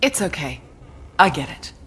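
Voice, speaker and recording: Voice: mid-range voice, very animated, normal speed, bright, forceful tone, a little hiss or hum. A performer playing a cold interrogator in a video game, controlled, mock-sympathetic and threatening. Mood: cool menace. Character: cold, menacing interrogator toying with a captive.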